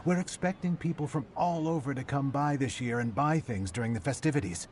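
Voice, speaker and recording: An upbeat townsman of a fantasy game bazaar, acted by a man, with an average-pitched voice. He talks with proud enthusiasm over faint background noise. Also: fairly quick, darkish tone, close to an everyday voice. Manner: enthusiastic, boastful. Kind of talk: video game voice acting.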